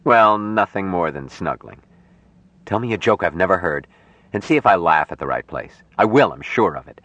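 Man voicing a deep, slow, earnest young man. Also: animated, fairly bright tone, dry recording, lo-fi sound. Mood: earnest, elated. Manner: slow, deliberate, formal phrasing. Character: earnest young man raised apart from humans, solemnly tender.

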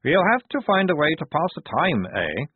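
A man's voice: on the high side, huge pitch swings, normal speed, slightly rough, no room sound, voice pushed up, heavily acted. A man voicing an oafish, self-pitying guard in a storybook, with a chummy, wheedling manner. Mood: leering, chummy. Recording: narrow tinny phone-like audio.

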